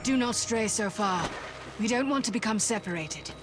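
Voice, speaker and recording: An actress playing a guarded, steely warrior woman in a video game, speaking in a cool, measured, serious way. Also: fairly high pitch, expressive, over music, lightly acted. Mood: guarded, resolute. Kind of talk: dramatic video game dialogue.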